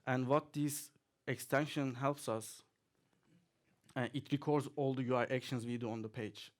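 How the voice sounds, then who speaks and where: A man talks through a tech demo at a conference, at an everyday middle pitch. He is relaxed and chatty, leaning on fillers and chuckling now and then as he goes. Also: moderate pace. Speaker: conference speaker. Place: conference hall, audience present.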